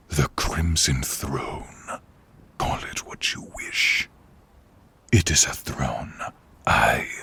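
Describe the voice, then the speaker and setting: An evil sorcerer king for fantasy audio roleplay, super slow menacing taunts, creaky rasp, grandiose and bitter. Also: a bass voice, pushed and bright, exaggerated voice. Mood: brooding, proud. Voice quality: gravelly, breathy rasping half-whisper. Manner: slow, theatrical, taunting delivery.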